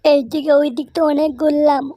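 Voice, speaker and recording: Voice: high voice, monotone, a little fast, warm tone, no room sound, lightly acted. A performer voicing a hungry, scheming village husband animal in a cartoon, exclaiming and muttering to himself. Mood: fretful. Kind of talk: Bengali cartoon voice acting.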